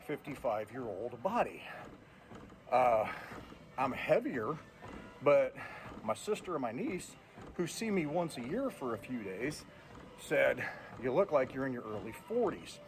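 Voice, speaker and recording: A man's voice: medium pitch, big pitch swings, a little slow, long pauses, slight background noise. A man in his fifties, a truck driver talking casually to viewers while walking on a treadmill, blunt and plainspoken. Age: 50s. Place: indoors on a treadmill.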